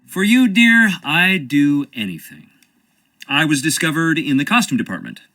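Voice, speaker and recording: A wry, theatrical American actor. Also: male voice, fairly high pitch, very animated, normal speed, sharp tone, heavily acted. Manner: wry, theatrical, dodging questions. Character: charming evasive young male stage actor. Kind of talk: video game voice acting.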